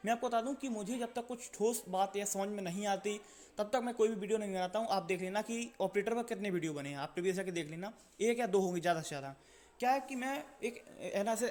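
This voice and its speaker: A man's voice: high voice, darkish tone. A man presenting an exam-update video in an informal explainer style, repeating his points and answering his own questions.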